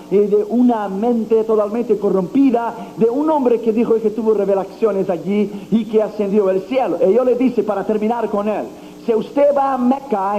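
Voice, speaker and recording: A man's voice: high voice, dark tone, lo-fi sound. A man preaching a sermon to a congregation in with a slight tinge, declaiming with emphatic, rising exclamations. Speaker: evangelist preacher. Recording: old recording with faint hiss.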